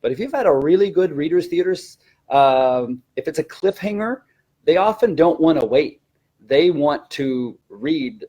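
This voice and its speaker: A man's voice: fairly high pitch, a little mellow, breathy edge. A man speaking as a teacher trainer in an informal webinar, explaining techniques in an instructive way.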